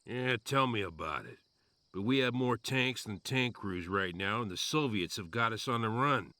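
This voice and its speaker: Low-voiced weary army commander. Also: male voice, animated, moderate pace, sharp tone, dry recording. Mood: weary, wry. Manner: wry, commanding. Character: gruff, weary army commander briefing his men. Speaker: military commander. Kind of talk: acted video game cutscene dialogue.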